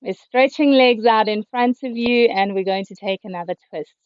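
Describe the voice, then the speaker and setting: A woman teaches a yoga class in a calm, steady voice that is slightly high and a little hoarse. She cues each breath and movement as she talks. The sound is dry and dull, coming through a video call. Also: moderate pace, dry recording. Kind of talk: guided yoga instruction. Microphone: computer mic on a video call.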